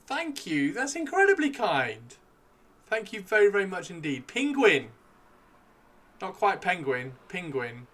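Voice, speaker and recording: Bright-voiced man hosting, voice wearing thin. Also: high voice, a little slow. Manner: excitable, repeats phrases. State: losing his voice. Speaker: livestream host. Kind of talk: casual live stream chat while painting miniatures.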